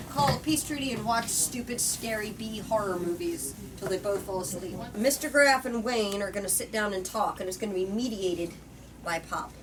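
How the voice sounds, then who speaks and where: A woman reading a story aloud as host, dramatic and informal in her delivery. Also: on the high side. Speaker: host reading book excerpts. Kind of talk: expressive book reading with casual asides. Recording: distant mic, faint background noise.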